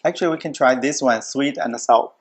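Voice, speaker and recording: A man in his forties hosting a casual chat over a meal, speaking haltingly with many restarts and fillers. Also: medium pitch, slightly rough. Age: 40s. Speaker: host.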